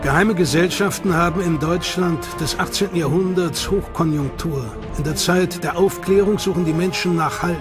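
A man giving measured, scripted narration for a history documentary. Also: sits high. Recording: music bed under voice.

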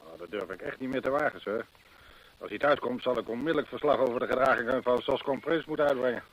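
In a long, reverberant echo, an actor plays an uneasy male estate servant for a vintage radio play. He talks quickly and with deference. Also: mid-range voice, close to an everyday voice. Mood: uneasy, respectful. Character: anxious, deferential male employee of a country estate. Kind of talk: old radio drama acting.

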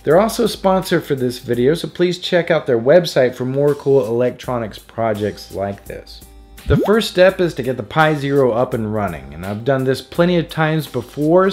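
A man's voice: mid-range voice, very animated, moderate pace, darkish tone. Man narrating a playful tutorial over music. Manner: playful, jokey. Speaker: host of an electronics DIY tutorial. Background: background music.

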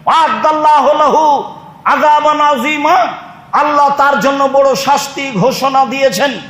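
A man's voice: very high voice, a little slow, a bit bright. A Bangladeshi man preaching an Islamic sermon in an emphatic, declamatory way to a congregation. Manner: emphatic, declamatory, with Arabic recitation. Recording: echoey hall with long reverb.

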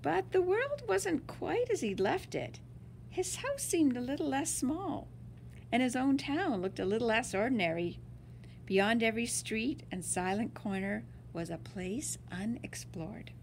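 Woman, storybook read-aloud for kids: animated, dramatic word emphasis, slow pacing, faint noise behind. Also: fairly high pitch, vocal fry. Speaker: teacher reading to children.

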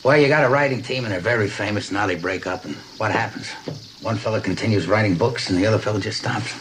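A man's voice: lowish voice, quick pace, a bit bright, lightly acted. An actor playing a folksy, humble police lieutenant in a TV drama, rambling and hesitant as he asks his questions. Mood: folksy curiosity. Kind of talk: television detective drama acting. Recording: noisy old TV soundtrack.

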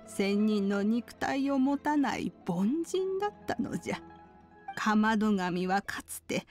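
A wise old woman of a fantasy game, recounts ancient legends with kindly gravity. Her voice sits fairly high and moves slowly, in old-fashioned elderly phrasing. Music plays clearly behind her. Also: darkish tone. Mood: grave, measured, then fond, wistful. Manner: archaic elderly speech, measured and solemn. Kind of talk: Japanese video game storytelling, solemn narration.

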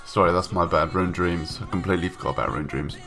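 A man's voice: sits low, steady tone, fast, a bit bright, faint music behind. A young man with a stuffy nose from a cold, giving casual, rambling voice-over commentary with many ums and restarts. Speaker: gaming YouTuber. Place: home room, recorded separately from gameplay.

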